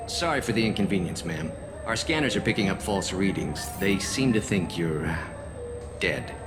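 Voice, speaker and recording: A man playing a polite, hesitant security guard in a video game, speaking in a halting, apologetic way. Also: deep voice, expressive, music in the background. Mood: puzzled, apologetic. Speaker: security sergeant character.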